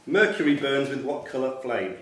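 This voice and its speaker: A man works as quizmaster, reading questions aloud to a room. His mid-pitched voice comes from some way off the microphone in a roomy space. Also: expressive, moderate pace. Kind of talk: reading quiz questions aloud at a live event. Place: function room at a club quiz night. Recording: roomy, distant mic, faint noise, clicks.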